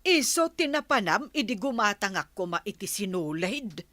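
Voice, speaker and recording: A woman's voice: mid-range voice, sing-song, normal speed, pushed and bright. A woman playing a knowing, gossipy friend in an Ilocano radio comedy drama, with an Ilocano accent. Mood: teasing.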